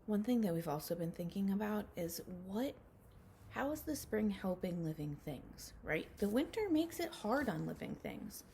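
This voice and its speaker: Creaky-voiced woman teaching young children. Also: medium pitch, big pitch swings, normal speed, a little mellow, a little hiss or hum. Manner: addresses children directly with guiding questions. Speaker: early childhood teacher reading aloud. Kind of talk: read-aloud lesson for young children.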